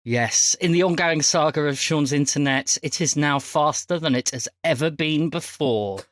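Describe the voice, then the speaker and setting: A man's voice: fairly high pitch, normal speed, no echo, studio quality. A man hosting a casual podcast as game master, chatting and recapping.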